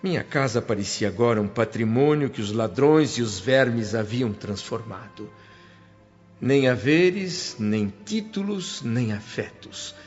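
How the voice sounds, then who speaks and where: A man's voice: medium pitch, darkish tone, close to an everyday voice. Sorrowful Brazilian male spirit played by an actor. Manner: sorrowful, emotive. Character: anguished dead father's spirit recounting his return home. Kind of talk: dramatic first-person radio drama narration. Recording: muffled audio with music underneath.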